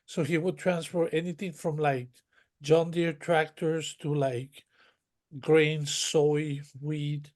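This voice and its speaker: A man speaks as an interview guest. His voice sits fairly high for a man. He winds through his memories in long, detailed stretches, doubling back and restarting his sentences as he goes. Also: little pitch movement, slow, darkish tone. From northern Mexico. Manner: rambling, detailed storytelling with many restarts. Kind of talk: conversational interview.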